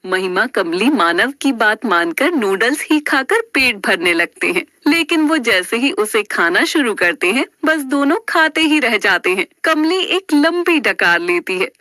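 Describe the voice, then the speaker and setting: A woman's voice: a little high, very animated, measured pace, hardly any pauses, sharp tone, no room sound, clean studio sound. A woman storyteller giving dramatic scripted narration of a story.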